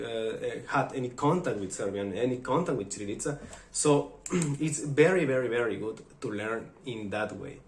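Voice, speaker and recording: A man from Mexico speaks English with a Mexican Spanish accent, eager and chatty, with halting non-native phrasing. His voice sits in a middle pitch. The room around him is echoey. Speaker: vlogger. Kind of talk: casual talk to camera.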